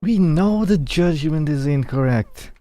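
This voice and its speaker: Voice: mid-range voice, expressive, unhurried, mellow. A video game streamer giving casual, grumbling playthrough commentary in an informal, muttering way.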